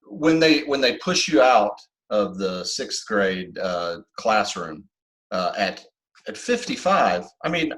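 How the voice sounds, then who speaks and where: Man, evasive, halting, mumbly, actor as ousted fifties schoolteacher. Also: on the low side, very animated, a bit bright, exaggerated voice. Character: 55-year-old male ex-sixth-grade teacher, defensive. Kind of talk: improv comedy sketch.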